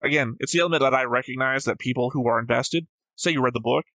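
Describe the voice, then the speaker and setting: A man's voice: medium pitch, fast talker, pushed and bright, no room sound. A man talking casually as a reaction show host, opinionated and analytical, with a blunt, flippant way of speaking.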